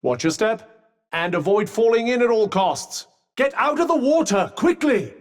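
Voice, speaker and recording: High-pitched, witty British magical companion. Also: male voice, animated, moderate pace, darkish tone, recorded from a distance. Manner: sardonic, eloquent, urgent coaching. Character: talking magic cuff, dry witty male battle companion. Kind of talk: video game companion voice lines.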